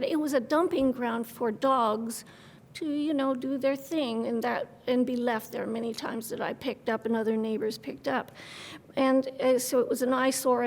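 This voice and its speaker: Older lady rambling at a city meeting podium, high gravelly voice, echoey hall w a little hiss. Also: a little mellow. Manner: folksy and rambling, with homey terms like hon and love. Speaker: public commenter. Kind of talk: nervous testimony at a public meeting. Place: city council chamber. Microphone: podium microphone.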